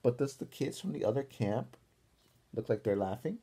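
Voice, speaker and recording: A man reads a children's picture book aloud in a teacherly way. His voice is soft-edged and mellow with a slightly husky grain, and it moves widely in pitch. He goes deliberately and pauses to put questions to young listeners. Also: lively intonation.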